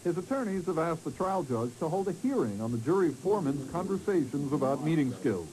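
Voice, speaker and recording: A man's voice: a little high, sing-song, a little fast, a crowd in the background, low-quality recording. A man narrating a scripted television news report, on a hissy old broadcast tape.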